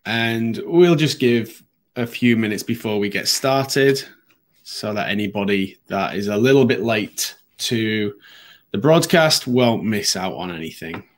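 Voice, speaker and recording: A man's voice: lowish voice, expressive, unhurried. A man hosting a live business webinar, a bit sniffly from hay fever, talking conversationally with frequent ums and restarts. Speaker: webinar host.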